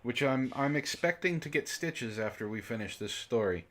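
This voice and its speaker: A man's voice: medium pitch, expressive, normal speed, fairly bright tone. A man hosting a comic book club, reading a story aloud and breaking off with exasperated, incredulous commentary. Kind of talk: reading fan fiction aloud with mocking commentary.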